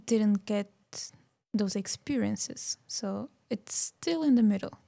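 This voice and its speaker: A woman's voice: mid-range voice, takes it slowly, creaky, mellow. A woman guest and university student, answering shyly and briefly in casual chat.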